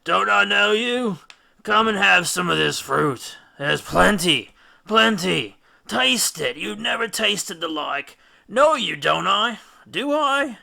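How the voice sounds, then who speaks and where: A man's voice: high-pitched, animated, measured pace, gravelly, sharp tone, pitched above the performer's own voice, exaggerated voice. A man voicing a dazed male sailor, drunk on lotus fruit, slurring and wheedling in a storybook reading.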